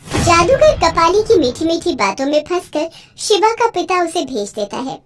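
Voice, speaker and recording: A very high-pitched storyteller narrates a children's cartoon fable. The delivery is scripted and highly animated, with some noise in the recording. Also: moderate pace.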